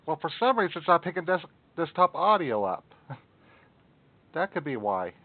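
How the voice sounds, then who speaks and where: A man's voice: a little high, sing-song, moderate pace. Man muttering over a tinny line. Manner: muttering, swears at technical trouble. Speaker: stream host. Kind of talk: casual live stream chat. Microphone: desktop mic. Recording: narrow phone-like sound.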